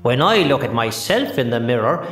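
An actor playing a chatty, hapless Irish sprite servant in a children's audio drama, colloquial and matter-of-fact. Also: male voice, medium pitch, very animated, moderate pace, fairly bright tone. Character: chatty, cheeky male sprite, the Snow Queen's hapless servant. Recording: roomy echo, music underneath.

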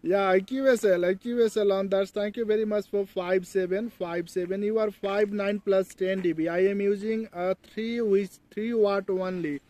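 A middle-aged man with a Nepali accent making a ham radio contact outdoors, deliberately repeating phrases for clarity. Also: high voice, fairly even intonation, dark tone. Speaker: amateur radio operator. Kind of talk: ham radio contact, call signs and signal reports. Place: outdoors on a dry hillside. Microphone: filmed by a phone a few steps away, holding a radio hand mic.

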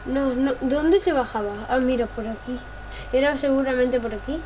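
A woman's voice: sits high, normal speed, warm tone. A female gamer in casual game chatter, often putting questions to a playing partner. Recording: clearly noisy home gaming recording.

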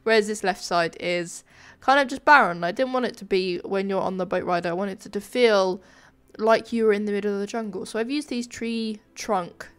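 A woman giving casual, informal commentary over a game building video, sounding nasal and a bit flat from a sore throat. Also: medium pitch, moderate pace, clear, resonant tone. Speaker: gaming video creator, narrator.

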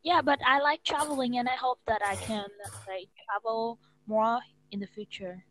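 A woman's voice: fairly high pitch, steady tone, normal speed, fairly bright tone, poor audio. A nineteen-year-old woman from Vietnam with a Vietnamese accent, speaking into an earphone mic in a small room as an English student, hesitant and searching for words. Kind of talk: casual video-call lesson. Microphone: wired earphone mic.

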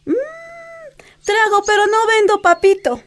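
A woman's voice: extremely high pitch, steady tone, unhurried, fairly bright tone. An actress playing a chatty, motherly market vendor with a Bolivian accent, affectionate and singsong.

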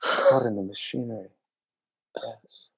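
A man acting an elderly husband in a radio play, gentle, protective and fearful, with a husky voice. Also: medium pitch, fairly even intonation, measured pace, exaggerated voice, poor audio. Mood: uneasy soothing. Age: older.

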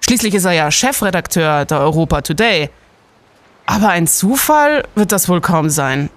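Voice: medium pitch, lively intonation, moderate pace, no room sound, lightly acted. An actor playing a brash, blunt young student detective in an audio drama, with slangy phrasing. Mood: defensive, sceptical.

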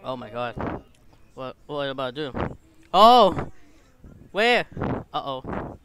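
A gaming streamer, giving exclamatory, halting English commentary and reading game text aloud. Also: medium pitch, sing-song, fairly bright tone, soft background music, rough recording. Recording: clear background noise and clicks.

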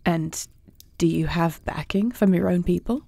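Fantasy audio drama: wary warrior princess voiced by a woman, measured and formal, creaky mid-range voice, dry recording. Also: lowish voice, warm tone, no room sound. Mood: guarded. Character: wary, composed warrior princess, heir to throne. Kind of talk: fantasy audio roleplay acting.

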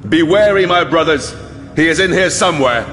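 A man voicing a fierce but haunted armored holy warrior in a fantasy audiobook, with a declamatory, halting delivery. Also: a little high, a bit bright, slight background noise, voice pushed up, close to an everyday voice. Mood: grim command. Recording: long reverb, music underneath.

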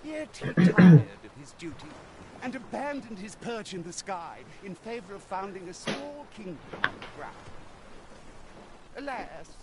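A man's voice: sits high, heavy background noise. An actor playing an ancient, wise male storyteller in a video game, speaking in old-fashioned, musing phrases.

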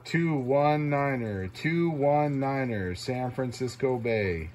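A man's voice: medium pitch, slow pace. A man working as a CB radio operator, making repetitive call-outs in short clipped phrases of call signs. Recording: noisy, radio static hiss.

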